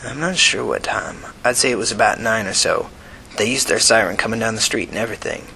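A man voicing a sickly, thin, resigned man who speaks softly and unhurriedly, mumbling with a slight Southern accent. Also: lowish voice, gravelly, a little hiss or hum, rough recording. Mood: helpful, tired. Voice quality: soft, mumbling. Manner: folksy, unhurried.

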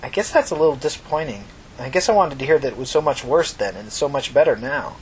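A man's voice: mid-range voice, expressive, fast talker, a little hiss or hum, natural voice, low-quality recording. A man voicing an earnest, inquisitive young woman in a story reading, blunt yet sympathetic. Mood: bitter, disappointed.

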